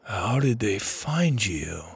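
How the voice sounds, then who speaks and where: A man voicing a skinny, geeky adult sci-fi fan, casual, chummy and easily excited. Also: mid-range voice, unhurried, vocal fry, breathy edge, dry recording, heavily acted. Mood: quiet, serious.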